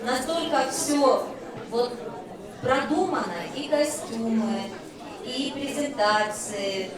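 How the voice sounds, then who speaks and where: A woman guest giving an enthusiastic interview comment in quick bursts. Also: high-pitched. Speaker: festival guest. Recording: echoey hall from far off, crowd noise.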